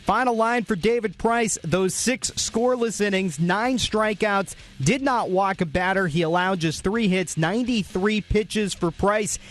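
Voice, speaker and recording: A man hosting a radio postgame show, recapping the highlights in a brisk, informative, scripted way.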